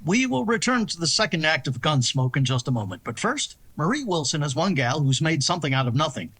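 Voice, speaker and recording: A man's voice: fairly high pitch, sing-song, fairly quick, a bit bright, husky, faint music behind. A man announcing in scripted 1950s radio style.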